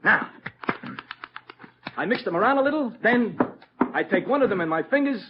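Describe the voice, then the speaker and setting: Nervy slangy vaudeville guy, highish voice all over the place, excitable, dull old radio sound. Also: normal speed, background noise. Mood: eager showman. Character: jittery, folksy old-time vaudeville trick performer, male. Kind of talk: 1950s radio drama acting. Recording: muffled old radio recording.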